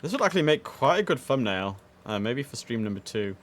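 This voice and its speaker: A man's voice: medium pitch, sing-song, a little fast, fairly bright tone. A man giving casual live-stream commentary as he plays air traffic controller, easygoing and half talking to himself, slipping into clipped controller phraseology.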